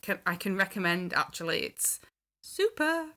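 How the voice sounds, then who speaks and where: A woman's voice: mid-range voice, sing-song, moderate pace, sharp tone. A woman in casual conversation as a podcast panellist, with an emphatic way of talking. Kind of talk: casual chat.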